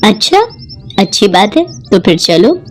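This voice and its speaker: A woman voicing a well-off, kindly and enthusiastic married lady, encouraging and expressive, in a cartoon moral story. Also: medium pitch, measured pace, soft background music. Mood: keen, curious.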